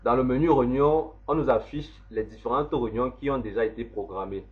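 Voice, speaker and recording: A man, slowly walking through a software setup step by step as an instructor. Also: medium pitch. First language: French. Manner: slow, step-by-step explaining. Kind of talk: screen-recorded software tutorial. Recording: dull lo-fi voice recording.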